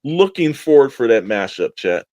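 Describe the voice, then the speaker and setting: A man's voice: mid-range voice, big pitch swings, moderate pace, darkish tone, no echo. A man with a middle-range voice co-hosts a podcast in an enthusiastic, informal style. He rambles through promotional chat as if talking to friends. The sound is dry and close, with very little room in it. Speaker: podcast co-host.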